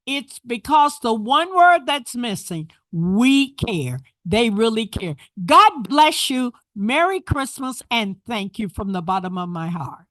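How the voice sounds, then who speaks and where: A woman's voice: a little high, very animated, slow, hardly any pauses, a bit bright, no room sound. A woman giving fervent, thankful public comment with blessings at a council meeting. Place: council chamber.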